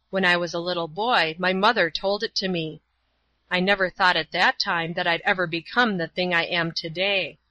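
A woman's voice: fairly low pitch, bright, forceful tone, no room sound, muffled recording. A woman voicing a hardened, bitter man fresh from prison who despises himself. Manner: bitter, self-loathing. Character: hardened, remorseful ex-convict man.

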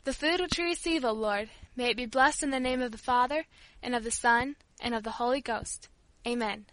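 A woman's voice: a little high, a little fast, fairly bright tone, no echo, natural voice. A woman voicing a devout, earnest young country girl in an audiobook, polite, emotional and pleading. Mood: meek, reverent. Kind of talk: audiobook character voice.

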